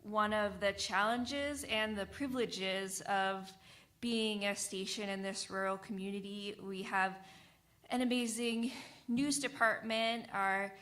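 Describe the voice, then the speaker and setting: Casting: woman, community radio panelist, unhurried pace, mid-range pitch, earnest and measured delivery. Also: fairly even intonation, a bit bright. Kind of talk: panel discussion, unscripted talk.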